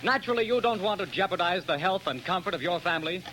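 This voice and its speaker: A man reading a scripted radio commercial in a smooth, persuasive announcer manner, heard through an old broadcast. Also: sits high, lively intonation, fast talker, sharp tone, slight background noise, poor audio.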